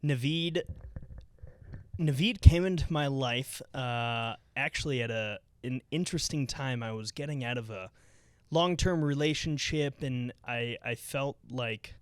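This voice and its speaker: A man's voice: mid-range voice, a little slow, smooth, no echo. A young man hosting a casual podcast from his couch, talking loosely with lots of fillers and restarts. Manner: chatty, rambling with many fillers. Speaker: podcast host. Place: home living room.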